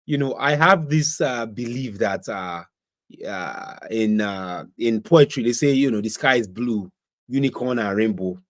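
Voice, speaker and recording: A man's voice: medium pitch, lively intonation, measured pace. A man from Nigeria with a Nigerian accent, hosting a livestream and giving casual political commentary, firing off rhetorical questions and slipping into pidgin. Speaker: livestream host. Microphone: streaming setup.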